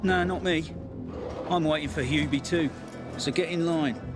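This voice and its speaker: Game casting: a man plays an easygoing boozy local, voice a bit high, casual. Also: normal speed, over music, lightly acted. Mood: laid-back. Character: laid-back male drinker idly waiting in line. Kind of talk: video game cutscene acting.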